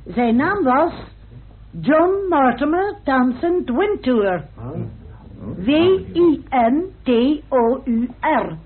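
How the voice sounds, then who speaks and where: Woman investigator, radio drama, high voice, precise, assured, tinny narrow-band old recording. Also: sing-song, very slow, exaggerated voice. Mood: triumphant reveal.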